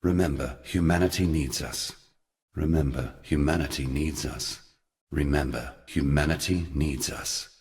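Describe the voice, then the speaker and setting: Sin, a very deep, somber ancient god. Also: male voice, a little mellow, long reverb tail. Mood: solemn. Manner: solemn, deliberate, archaic and portentous phrasing. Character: Sin, ancient male god, somber, forgotten, weary protector of humanity. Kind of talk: scripted video game character dialogue.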